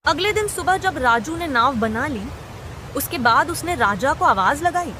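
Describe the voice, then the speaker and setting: Bright high-voiced woman narrating. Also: expressive, measured pace, slight background noise. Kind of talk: scripted storybook narration.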